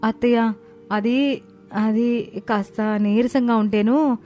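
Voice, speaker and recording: A woman's voice: a little high, steady tone, slow, mellow, faint music behind. A woman playing an innocent, timid young village daughter-in-law in a dramatised family story, meek and pleading. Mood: timid, hurt. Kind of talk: dramatised moral story acting.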